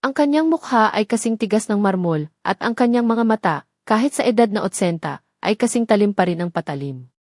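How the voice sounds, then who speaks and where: A woman's voice: mid-range voice, lively intonation, a little fast, a little mellow, no echo. Solemn woman narrating, close dry sound. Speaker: story narrator. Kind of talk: scripted dramatic narration of a romance story.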